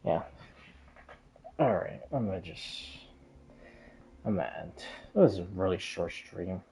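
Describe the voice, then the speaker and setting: A man's voice: low-pitched, lively intonation, measured pace, mellow. A man livestreaming games, chatting casually in a hesitant, meandering, repetitive way. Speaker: game livestreamer. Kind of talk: casual rambling stream chat. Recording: dull, muffled lo-fi stream audio.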